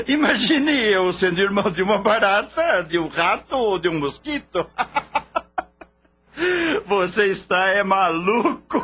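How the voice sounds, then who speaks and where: A man playing a skeptical, scornful veterinarian boss in a radio drama, blunt, mocking and lecturing. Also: high-pitched. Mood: mocking, amused. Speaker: voice actor. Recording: dull lo-fi audio, faint hum.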